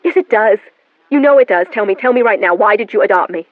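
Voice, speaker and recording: A woman's voice: medium pitch, fairly quick, no echo, voice pushed up, natural voice. A cold, accusing young daughter presses her questions, as a woman voices her in an audiobook. The voice stays near the reader's own middle range. It comes through thin and dry. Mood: demanding. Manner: quiet then insistent, demanding. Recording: narrow phone-like band, dry.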